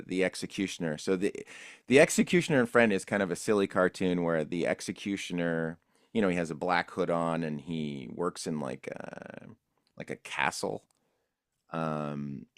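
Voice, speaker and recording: A man's voice: low-pitched, clear, resonant tone, no room sound, clean studio sound. A male voice actor casually recalling past jobs in an interview, in an easygoing, rambling way. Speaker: voice actor guest.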